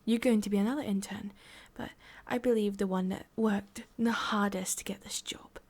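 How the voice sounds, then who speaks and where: A woman with an English accent playing a sadistic, condescending female demon boss in an ASMR roleplay, speaking deliberately and slipping between soft voice and whisper. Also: mid-range voice, steady tone, close to an everyday voice. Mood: coldly threatening.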